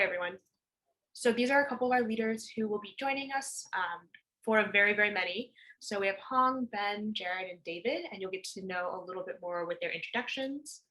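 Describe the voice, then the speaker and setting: A woman's voice: medium pitch, fairly bright tone, poor audio. Chatty young woman hosting a video call. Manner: chatty, apologetic, a bit scattered. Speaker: lead organizer and event host. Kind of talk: casual event hosting.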